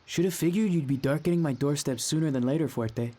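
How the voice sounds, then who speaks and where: An actor playing a brash, sardonic rival luchador in an audio drama, curt and defiant. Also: male voice, a little high, fast talker, mellow, lightly acted.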